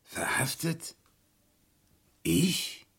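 Sharp, creaky, defiant old suspect. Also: male voice, mid-range voice, sing-song, very slow, full character voice. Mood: indignant. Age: older. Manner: agitated, spitting, dropping to bitter hisses. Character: old murder suspect, sly, indignant, defiant. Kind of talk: German radio crime drama acting.

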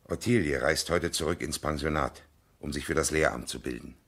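An earnest moralizing go-between in a German radio drama. The voice is low, and the delivery is declamatory and admonishing, full of firm opinions. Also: male voice, fairly quick, fairly bright tone, slightly rough, full character voice. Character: earnest, opinionated male mediator and moralizing family friend. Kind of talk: German radio play acting.

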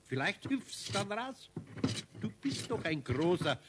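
An actor playing a good-natured, patient older craftsman with a Bavarian accent in a 1970s children's radio play, a man who gently teases. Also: sits high, very animated, slow pace, noisy background, heavily acted. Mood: coaxing, amused.

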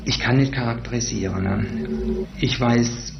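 A man with an extremely creaky voice talks informally and haltingly. The recording is dull and roomy, swamped by noise. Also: mid-range voice. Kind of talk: informal interview recollection. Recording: dull, echoey, very noisy interview.

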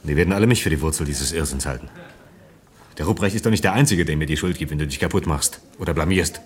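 An actor playing an exasperated, gifted engineer in a naturalistic radio play, blunt and insistent. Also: male voice, rumbling low, fast talker. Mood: insistent, reproachful. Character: Helmut, exasperated gifted male engineering colleague.

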